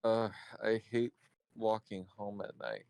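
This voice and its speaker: A man reading visual novel narration aloud, halting at times and correcting his slips. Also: on the low side, very animated, gravelly, a little mellow, a little hiss or hum.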